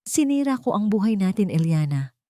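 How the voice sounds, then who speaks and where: A woman's voice: medium pitch, sing-song, normal speed, warm tone, dry recording, studio quality, pitched above the performer's own voice, lightly acted. A woman with a Filipino accent voicing a remorseful, anguished husband and father in a dramatic story, pleading and pained.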